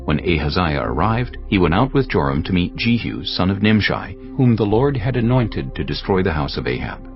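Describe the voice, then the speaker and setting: A man narrating scripture in a measured, solemn reading. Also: deep voice, sing-song, muffled recording, faint music behind.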